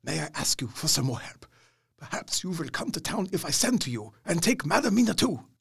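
An actor playing a kindly, earnest old professor, speaking formally and emphatically in an audio drama. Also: male voice, on the high side, big pitch swings, brisk, gravelly, fairly bright tone, slightly rough, full character voice. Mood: warm, earnest. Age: older. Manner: emphatic, quaintly formal phrasing.

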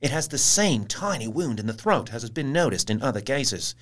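An actor playing a sober Victorian newspaper reporter, reading in a measured, formal dramatic style. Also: male voice, mid-range voice, big pitch swings. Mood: urgent reporting.

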